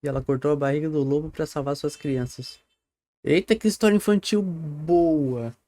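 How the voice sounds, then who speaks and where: A man with a fairly high voice and a Brazilian accent. He talks like a live streamer reacting to a video, loose and slangy. He swears freely and tends to repeat his own phrases. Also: normal speed, warm tone, no room sound.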